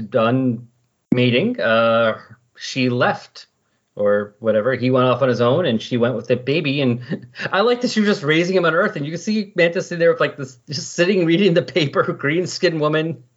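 A man's voice: medium pitch, dull audio. A man talking casually as a podcast co-host in a rambling, conversational way about comics.

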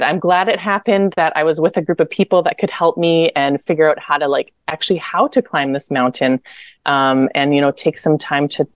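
A woman's voice: sits low, dry recording. A young adult woman from Manitoba, Canada, chatting as a podcast guest, with lots of fillers and restarts. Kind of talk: casual interview chat.

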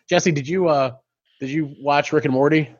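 A man's voice: on the high side, breathy edge, no echo, lo-fi sound. A man co-hosting a podcast in casual chat, rambling, swearing freely and laughing often, on a home mic over a video call. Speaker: podcast co-host.

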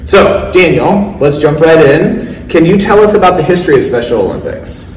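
A man moderating a live panel in a casual way, with lots of fillers, often trailing off quietly. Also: on the high side, sing-song, normal speed, a little hiss or hum. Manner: casual, filler-heavy, drops his voice. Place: large event hall. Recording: distant mic in a roomy hall.

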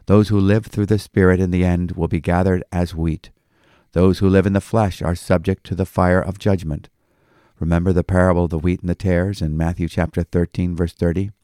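Deep, mellow-voiced male pastor. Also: little pitch movement, a little fast, dry recording, clean studio sound. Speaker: pastor and host. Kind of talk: devotional Bible commentary with scripture reading. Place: small office studio.

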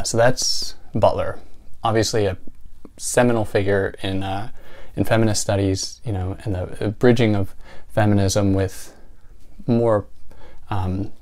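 A man's voice: on the low side, steady tone, a little slow, darkish tone. A young man hosting his own video channel, talking casually and thoughtfully about books, with a soothing voice and many fillers. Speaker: book channel host. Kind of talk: casual vlog talk.

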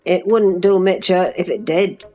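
A woman voicing an awkward young rustic man with a Yorkshire dialect, slow and blunt. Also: lowish voice, animated, mellow, muffled recording, faint music behind, pitched below the performer's own voice.

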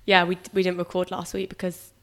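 A young woman with a heavy head cold, her voice blocked and nasal. She co-hosts a relaxed podcast, chatty and quick to tease, her voice pitched slightly low. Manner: chatty, teasing, laughs often. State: congested with a cold. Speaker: podcast co-host; personal trainer. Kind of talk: casual chat.

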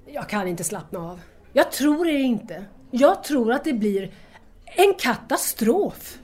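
A woman's voice: on the high side, sing-song, a little mellow, slightly rough. A woman playing a hurt, anxious young woman in an audio drama, speaking earnestly and formally to estranged lovers. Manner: earnest, wounded, formal address.

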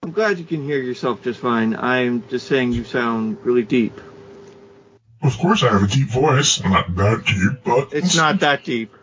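A man's voice: mid-range voice, lively intonation, unhurried, fairly bright tone. A man co-hosting a gaming podcast, teasing and joking in casual banter. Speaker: podcast co-host. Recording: lo-fi with faint noise.

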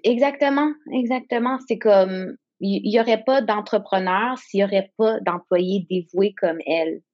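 A woman's voice: mid-range voice, normal speed, a little mellow, lo-fi sound. A woman in her thirties, a native English speaker, speaking French as a guest in an interview, reflective and searching for words. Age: 30s. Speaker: guest entrepreneur. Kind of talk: candid conversational interview.

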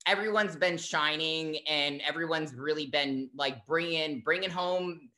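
Bright-voiced, animated fitness coach. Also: medium pitch, unhurried. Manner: animated, uses lots of fillers like um and like. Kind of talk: casual interview chat.

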